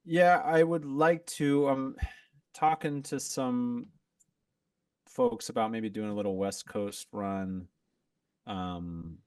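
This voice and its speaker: A man talking as a podcast guest in a relaxed, rambling way, full of fillers and restarts. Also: mid-range voice, slow pace, long pauses, clean tone, no echo, distant mic. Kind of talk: casual chat.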